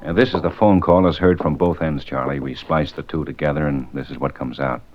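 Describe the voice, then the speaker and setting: Very deep-voiced, commanding police detective. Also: male voice, steady tone, a little fast, soft background music. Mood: businesslike. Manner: clipped, commanding. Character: gruff, businesslike male police detective in charge. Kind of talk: 1950s radio drama acting. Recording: noisy, dull vintage radio broadcast.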